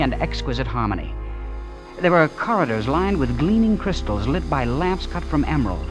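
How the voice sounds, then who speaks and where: An actor playing a naive, awestruck and uneasy young man, halting and full of wonder, in an old-time radio drama. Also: medium pitch, lightly acted, low-quality recording. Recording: old radio broadcast with music.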